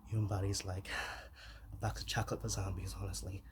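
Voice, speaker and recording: A man playing a shy, awkward, gentle zombie in an ASMR roleplay, speaking softly and hesitantly and drifting into whispers. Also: low voice, flat delivery, a little fast, a little hiss or hum. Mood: friendly, rambling. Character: shy, awkward, soft-hearted young male zombie.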